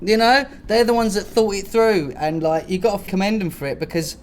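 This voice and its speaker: A man with a fairly high-pitched voice chats casually on a comedy podcast. He rambles through his points in slangy, sweary language. There is noticeable noise in the recording. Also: a little fast. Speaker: podcast co-host. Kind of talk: casual comic podcast chat. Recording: clear background noise.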